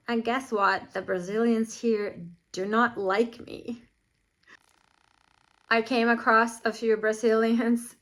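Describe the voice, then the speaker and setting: A woman's voice: fairly high pitch, fairly bright tone. A woman in her forties from Finland, frankly telling personal stories to camera, earnest and at times indignant. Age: 40s. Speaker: vlogger.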